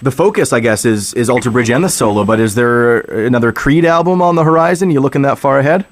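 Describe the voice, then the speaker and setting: A man's voice: mid-range voice, fast talker, a little hiss or hum. A man hosting a radio interview, asking questions in a conversational way. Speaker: radio host.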